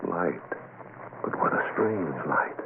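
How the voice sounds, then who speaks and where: An actor playing a frightened, protective father, halting and dramatic, in an old radio horror play. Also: low-pitched, animated, heavily acted. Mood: uneasy wonder. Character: frightened, protective father trapped in haunted cabin. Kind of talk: 1970s radio horror acting. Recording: noisy, tinny old radio recording.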